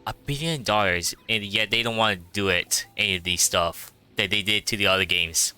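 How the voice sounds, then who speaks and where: A man's voice: fairly low pitch, brisk, pushed and bright, clear, resonant tone. A man giving casual, rambling playthrough commentary as a game streamer, repeating himself as he goes. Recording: game music behind.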